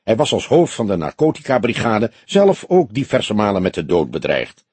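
A man's voice: fairly low pitch, wildly swooping pitch, normal speed, a little mellow, breathy edge, no room sound, heavily acted. A man voicing an earnest young male detective, a questioning and slightly wry junior partner in an audiobook.

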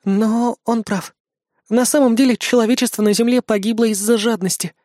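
A man's voice: extremely high pitch, steady tone, normal speed, darkish tone, no echo, clean studio sound, pitched above the performer's own voice. A man voicing a guilty young idol girl general. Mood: pleading. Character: young female idol who is a general, apologetic. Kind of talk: audiobook character voice.

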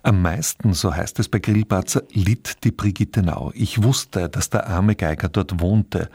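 A man reads a scripted cultural introduction as a radio presenter, measured and articulate. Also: sits low, darkish tone, breathy edge, no room sound. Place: radio studio.

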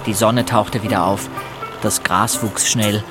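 An actor playing a male narrator who recalls his rural boyhood in measured, observant literary storytelling for an audio drama. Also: lowish voice, fairly even intonation, over music, lightly acted. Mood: reflective.

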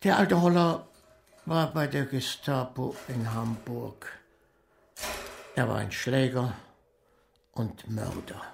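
Old guy, creaky voice, really slow n hesitant, lonely retired broker w guilt, radio drama. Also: mid-range voice, a little hiss or hum. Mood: heavy, confessing. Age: elderly. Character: lonely, guilt-laden old retired male estate agent.

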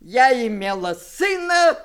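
A woman's voice: high voice, very animated, clear, resonant tone, heavily acted. An older woman playing a mischievous, rebellious grandmother of great age in an audio play, with theatrical, emphatic delivery. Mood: mischievous, proud. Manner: theatrical, emphatic, with drawn-out words. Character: spirited, rebellious 106-year-old grandmother, mischievous and sharp-tongued. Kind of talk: stage-style audio play acting.

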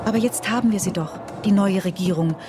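An actress playing an earnest, conciliatory liberal woman in a German radio drama, reasoning in a measured way. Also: mid-range voice, lightly acted. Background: music.

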